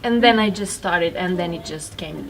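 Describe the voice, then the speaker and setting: A young woman from Romania with a Romanian accent, answering interview questions softly and hesitantly at a literary festival. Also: fairly low pitch, normal speed, rough recording. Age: young adult. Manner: soft-spoken, hesitant, with fillers and restarts. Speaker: guest writer.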